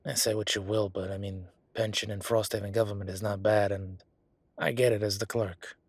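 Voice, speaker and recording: A man's voice: lowish voice, flat delivery, moderate pace, slight background noise, natural voice. A man playing a defensive, chatty government records clerk in an audio roleplay, talking in a rambling, colloquial way. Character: male records hall clerk, defensive, chatty, lazy bureaucrat. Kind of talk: solo audio roleplay, conversational acting.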